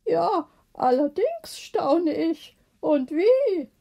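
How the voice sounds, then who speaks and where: A woman's voice: very high voice, sing-song, extremely slow, gravelly, mellow, pitched above the performer's own voice, full character voice. A woman voicing a curious, easily astonished woman in a storybook, with a wondering, questioning manner.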